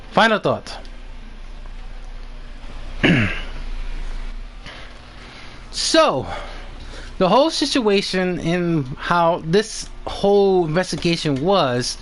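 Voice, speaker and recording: A man chatting and commentating casually as the host of a live stream, halting and often repeating himself. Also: high voice, a bit bright, slight background noise.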